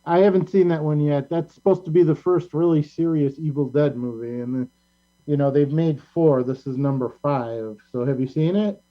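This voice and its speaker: A man's voice: fairly high pitch, moderate pace. A man hosting a casual interview, chatting and asking questions in a conversational way. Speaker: interviewer and show host. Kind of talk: casual interview chat about movies. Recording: muffled lo-fi sound with mains hum.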